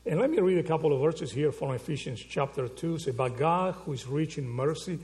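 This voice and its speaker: A man's voice: on the high side, expressive, brisk, darkish tone. A man from Argentina, preaching a sermon, asking rhetorical questions and checking in with "okay?" and "right?". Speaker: pastor.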